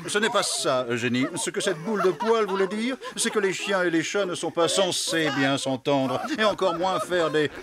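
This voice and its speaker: A man's voice: sits high, expressive, normal speed, hardly any pauses, heavy background noise. A man voicing a pompous, lecturing male pet animal in a French cartoon dub. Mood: condescending.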